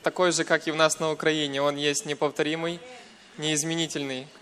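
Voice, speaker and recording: A young man from western Ukraine with a Ukrainian accent giving a personal testimony in church, in short hesitant segments with pauses. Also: on the high side, flat delivery, bright, forceful tone, clear, resonant tone. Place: church, speaking to a congregation.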